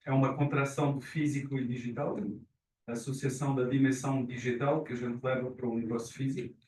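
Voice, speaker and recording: A man at a meeting, answering a question in a measured, explanatory way. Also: medium pitch, level tone, a little mellow. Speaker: committee member. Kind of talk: meeting discussion. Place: meeting room. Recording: roomy, distant mic with faint noise.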